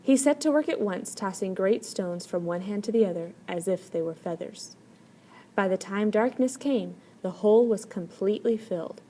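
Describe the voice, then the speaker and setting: A woman reading a children's story aloud as an expressive storyteller. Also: mid-range voice, normal speed. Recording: clear background noise.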